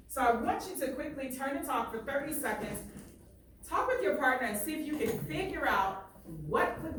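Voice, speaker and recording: A woman teacher reading aloud and questioning her class in a deliberate way, repeating phrases for emphasis. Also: a little high, a bit bright. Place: indoor classroom. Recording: echoey room, far from mic, faint noise.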